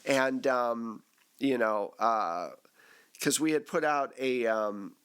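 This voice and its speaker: A man with a medium-pitched voice hosts a casual podcast debrief. He talks conversationally and jokes easily, like a game master chatting with his players. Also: slow pace, fairly bright tone, clean tone.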